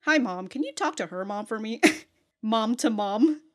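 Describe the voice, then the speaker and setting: A woman's voice: a little high, animated, a little slow. A woman giving casual reaction commentary as a video host, blunt and jokey, with slangy, sweary talk.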